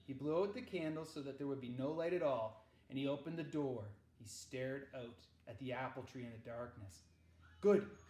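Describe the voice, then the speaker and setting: A man reading a children's novel aloud as narrator, with measured, dramatic phrasing. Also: medium pitch, darkish tone. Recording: echoey, roomy sound.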